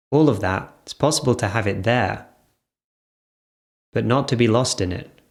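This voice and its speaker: A man with a fairly low voice leads an improvised guided meditation. His delivery is very slow, broken into short phrases. He leaves gentle pauses between them.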